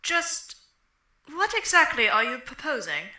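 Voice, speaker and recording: A woman's voice: sits high, sing-song, measured pace, pushed and bright, exaggerated voice. A woman playing a scornful, menacing hostage taker in a fan dub of a courtroom mystery game, sneering, commanding and taunting. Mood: bitter. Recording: roomy sound with music behind.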